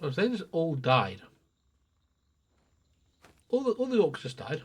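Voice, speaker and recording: A man's voice: a little high, wildly swooping pitch, measured pace, slightly rough. A man giving casual live game commentary as a streamer, with a dry, self-deprecating, sweary manner.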